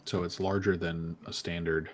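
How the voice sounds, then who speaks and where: A man's voice: low-pitched, little pitch movement, a little hiss or hum. A man hosting a casual podcast live stream, joking and teasing his co-hosts. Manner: jokey, quick laughs, teasing. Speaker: podcast host.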